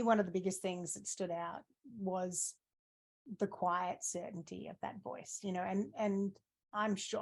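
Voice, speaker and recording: A woman asking questions in a casual conversation, speaking in a heartfelt, thoughtful way. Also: mid-range voice. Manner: warm, emotional, thoughtful. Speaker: interviewer. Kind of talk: casual chat.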